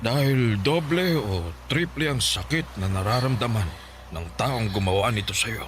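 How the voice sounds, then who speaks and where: A man playing a grave, calm older Filipino folk healer in a radio drama, speaking deliberately with thoughtful hums. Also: medium pitch, natural voice. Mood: grave, warning. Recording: clearly noisy background ambience.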